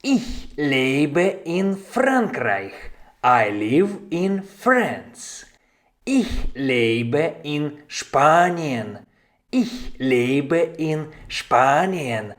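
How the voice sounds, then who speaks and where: A man giving a scripted language lesson as a teacher, deliberately repeating short example phrases in an instructional way. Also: a little high, very animated.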